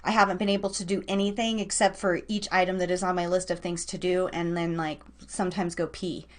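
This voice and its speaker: A woman's voice: fairly low pitch, flat delivery, brisk. A woman hosting a live online radio show, chatting informally with listeners and rambling as she thinks aloud. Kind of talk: casual, chatty livestream talk to listeners.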